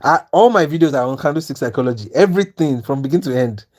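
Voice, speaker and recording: A man's voice: a little high, lively intonation, quick pace, a little mellow. Nigerian guy on a trading livestream, earnest and pushy, keeps asking if you understand. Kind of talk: live-stream talk to chat viewers.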